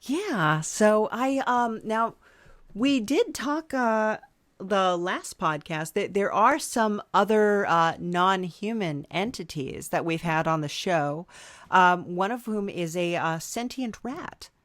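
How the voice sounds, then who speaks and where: A woman's voice: medium pitch, animated, takes it slowly, fairly bright tone, no echo, studio quality. A woman hosting a podcast, chatting casually and playfully, with a hesitant, indulgent manner. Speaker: podcast host.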